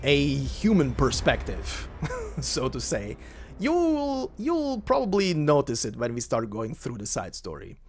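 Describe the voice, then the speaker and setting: A man from Croatia, a native Croatian speaker, chatting casually as the host before narrating a game story. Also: unhurried. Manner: chatty and rambling, with lively pitch jumps. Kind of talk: casual spoken intro to a game story reading. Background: faint looping game menu music.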